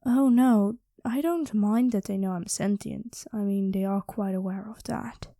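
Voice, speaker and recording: A woman's voice: mid-range voice, a little slow, creaky, warm tone, no room sound, clean studio sound. A woman improvising an ASMR roleplay as a playful, possessive dragon queen, coy and intimate, often dropping into whispers. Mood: playful, confiding.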